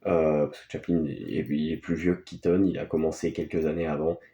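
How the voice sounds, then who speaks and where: A man's voice: very deep voice, level tone, brisk, dark tone. A man gives casual, unscripted film commentary as a video host, in a hesitant, rambling, offhand way. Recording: echoey, roomy sound.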